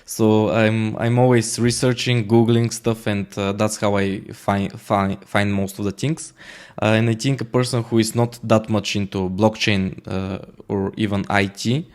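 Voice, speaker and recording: A man's voice: fairly low pitch, little pitch movement, unhurried, a little mellow. A man from Bulgaria, a Bulgarian native speaker talking in English, interviewed as a guest, speaking casually with many fillers and restarts. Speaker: guest, smart contract auditor.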